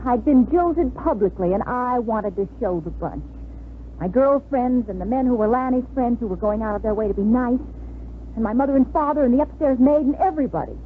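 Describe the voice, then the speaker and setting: An actress playing an earnest, conflicted young bride-to-be in 1950s radio drama style, speaking hesitantly and dramatically. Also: female voice, on the high side, animated, brisk, close to an everyday voice. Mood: wistful, confessing. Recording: tinny, noisy old radio broadcast.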